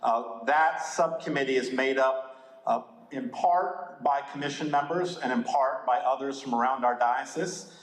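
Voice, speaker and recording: Male church committee chair, measured opening remarks, mid pitch, roomy echo. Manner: measured, frequent uh pauses. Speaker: subcommittee chair. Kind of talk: formal welcome remarks. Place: church hall with audience. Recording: echoey hall.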